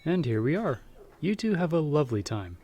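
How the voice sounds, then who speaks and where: A man's voice: on the high side, sing-song, fairly quick, dark tone. A man playing a cheerful, obliging elevator operator in an ASMR roleplay, with breezy, friendly patter. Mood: cheery, obliging.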